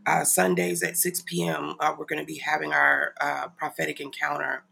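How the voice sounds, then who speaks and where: Man, stuffy nasal voice, heavy creak, bright tone, informal devotional livestream host. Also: a little high, little pitch movement, moderate pace. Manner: informal, apologetic, chuckling asides. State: congested from a stuffy nose. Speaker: online prayer ministry leader and host. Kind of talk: casual livestream devotional talk to followers.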